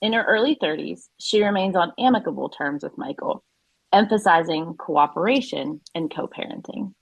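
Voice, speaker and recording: A woman's voice: fairly low pitch, animated, normal speed, gravelly, husky, dry recording, low-quality recording. A woman narrating an audio play from a script, setting scenes and introducing characters.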